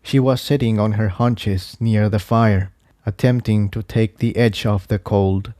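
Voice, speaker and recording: Mellow man narrating a story, close and dry. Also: on the low side, steady tone, measured pace, no echo. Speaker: fan fiction narrator. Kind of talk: scripted audiobook-style story narration.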